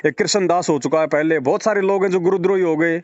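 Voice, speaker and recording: Male, fairly high voice, argumentative and insistent, dull phone-call recording. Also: quick pace, dry recording. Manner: argumentative, rhetorical questions, repetitive emphasis. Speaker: religious devotee speaking to a follower. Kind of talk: persuasive phone conversation.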